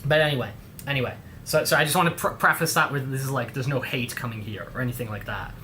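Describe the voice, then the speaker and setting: A man's voice: medium pitch, fast, pushed and bright, slight background noise. A man with an English accent hosting a livestream, chatting casually in a rambling, slangy way. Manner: rambling, heavy on slang like dude and bro. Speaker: livestream host.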